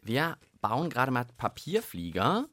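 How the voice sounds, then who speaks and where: A German man co-hosts a children's radio show from a studio, joking about his own clumsiness. His voice moves lively up and down, with a dry, close sound. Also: mid-range voice, measured pace, dry recording. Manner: playful, self-mocking. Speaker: radio co-host.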